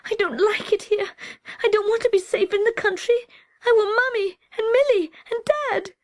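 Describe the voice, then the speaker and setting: A woman voicing a small frightened boy who wails in high, breathy cries. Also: normal speed, no echo. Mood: homesick.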